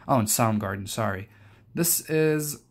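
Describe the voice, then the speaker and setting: A man's voice: mid-range voice, lively intonation, unhurried, vocal fry. Young man, hesitant and rambling. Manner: hesitant, rambling, self-correcting. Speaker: collector presenting his cassette collection. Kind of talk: casual unscripted show-and-tell.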